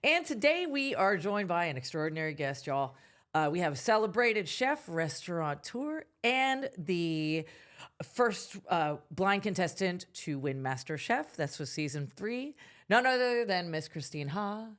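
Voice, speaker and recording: A woman's voice: on the low side, sing-song, unhurried, fairly bright tone. A woman hosting a podcast interview in a casual, chatty, effusive and folksy way. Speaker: podcast host.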